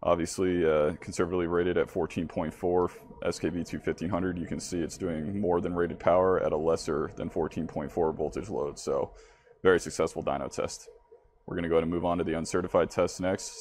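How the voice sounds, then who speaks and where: A man's voice: low voice, darkish tone, slight background noise. A man narrating a product demonstration casually, speaking informally and straight to viewers as the presenter. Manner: informal, addressing viewers directly.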